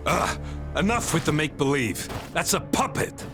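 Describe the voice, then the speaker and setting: An actor playing a mocking, brash henchman in a video game, with a gravelly voice. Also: on the high side, expressive, normal speed, music in the background. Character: sneering thuggish henchman, adult man.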